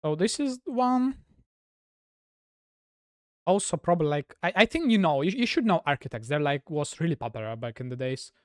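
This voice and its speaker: Chatty Russian-accented man, lively pitch. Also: moderate pace, pauses often, no room sound, studio quality. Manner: rambling, heavy use of "like" fillers. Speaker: gaming streamer. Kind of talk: casual chat with viewers while gaming.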